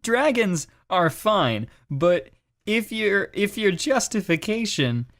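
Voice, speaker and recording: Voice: medium pitch, very animated, takes it slowly, fairly bright tone, no echo, clean studio sound. A podcast co-host giving a dramatic reading and comic chat, sounding exasperated and groaning over a bad text.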